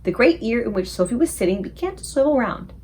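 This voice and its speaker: A woman reading a children's book aloud as an expressive storyteller for a book club. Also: on the high side, fast talker, a little hiss or hum.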